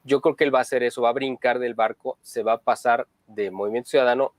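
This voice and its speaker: A man co-hosting political news commentary, talking in a steady, opinionated way. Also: medium pitch, fast talker, no room sound.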